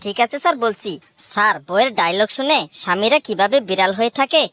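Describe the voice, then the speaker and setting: A woman's voice: mid-range voice, lively intonation, fast, fairly bright tone, no room sound, natural voice. A woman voicing cheeky, argumentative schoolchildren in a comedy cartoon. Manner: cocky, argumentative. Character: cheeky schoolchildren answering back to their teacher.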